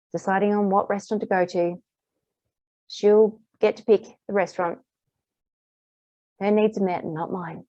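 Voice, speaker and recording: Calm, warm-voiced woman guiding a tapping lesson. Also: medium pitch, no room sound. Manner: calm, repetitive guiding phrases. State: slightly hoarse, clearing throat. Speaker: EFT tapping practitioner. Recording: dull, muffled dry recording.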